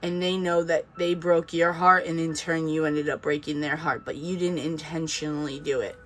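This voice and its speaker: A man with a touch of a Boston accent talks through a tarot reading in a loose, chatty way, reassuring his viewers and circling back with fillers. His voice sits a little higher than most men's. A faint hiss of noise runs under the recording. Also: level tone, normal speed, fairly bright tone. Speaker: tarot reader. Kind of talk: casual tarot reading monologue.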